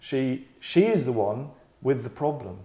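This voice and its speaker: In an echoey room, a man with a medium-pitched voice hosts a script reading. He narrates stage directions and talks the scene over informally, repeating phrases for emphasis. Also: expressive, measured pace, warm tone. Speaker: writer, director and host.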